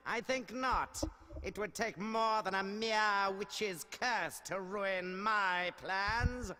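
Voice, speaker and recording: Pompous villain bishop, high and slow. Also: male voice, sharp tone, heavily acted. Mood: theatrical suspicion. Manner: pompous, grandiloquent, silkily menacing. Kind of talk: theatrical video game voice acting. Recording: long cavernous reverb, music behind.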